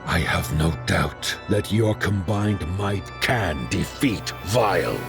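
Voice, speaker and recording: Super deep slow solemn general, game cutscene, loud music behind. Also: male voice, expressive, creaky, exaggerated voice. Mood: grave, resolute. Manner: slow, formal, deliberate. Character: solemn, weary male general facing his end, grave and regal. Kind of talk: video game cutscene acting.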